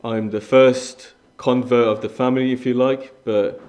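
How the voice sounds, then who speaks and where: A man's voice: mid-range voice, level tone, measured pace, darkish tone. Young man from Iran, guest preacher, mid-range voice, earnest and conversational, restarts often. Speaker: guest speaker. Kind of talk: sermon with personal testimony.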